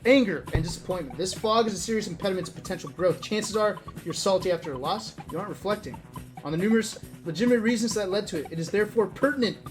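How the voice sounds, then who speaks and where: Background music plays under a streamer with a mid-pitched voice reading aloud. The delivery is casual and wry, slipping out of the text into off-the-cuff comments addressed straight to viewers. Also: fast. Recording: music playing behind the voice.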